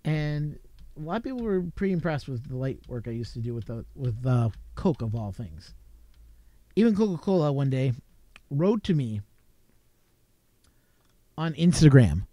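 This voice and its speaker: A man's voice: medium pitch, sing-song, normal speed, pauses often, dark tone, dry recording. A man from Canada, hosting a late-night live stream from his home desk, chatting casually and rambling with chuckles. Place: home desk crowded with gear. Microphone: desk mic with a mixer.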